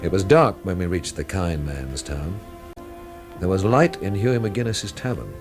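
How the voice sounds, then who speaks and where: Low-voiced, wry world-weary investigator. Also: male voice, animated, moderate pace, over music. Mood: wry reflection. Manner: dry, literary, laconic. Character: wry, world-weary male investigator narrating his own inquiry. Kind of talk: radio drama narration and dialogue.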